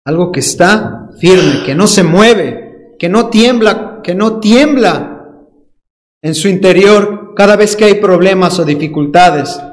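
Man, Dominican accent, preacher, high-pitched, slow deliberate delivery, stretched emphatic words, playful asides. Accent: Dominican Spanish. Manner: emphatic, drawn-out stresses on key words; playful, mimics excuses mid-sermon. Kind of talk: sermon.

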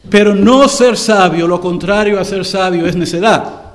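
Pastor guy, high voice, preaching to the church, gets loud and slow on the big points. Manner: preaching to a congregation, swells louder and slows for emphasis. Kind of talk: sermon.

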